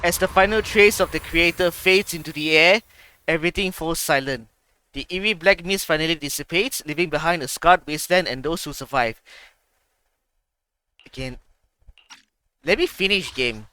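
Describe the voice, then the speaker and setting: A man hosting a game reaction video, giving brief excited reactions and reading story text aloud. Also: fairly high pitch, moderate pace, pauses often, smooth, low-quality recording. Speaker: gaming reaction host. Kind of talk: casual game reaction commentary. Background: game music.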